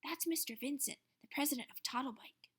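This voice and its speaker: A woman's voice: fairly high pitch, sing-song, brisk, a bit bright. A woman voicing a friendly, helpful young office worker at an advertising agency in a storybook reading. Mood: explaining.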